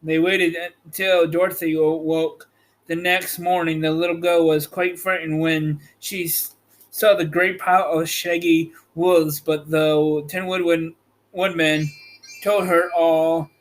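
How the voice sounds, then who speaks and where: A man narrating a storybook aloud as host, in a measured, slightly halting read. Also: fairly high pitch, level tone, low-quality recording. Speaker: host reading an audiobook chapter.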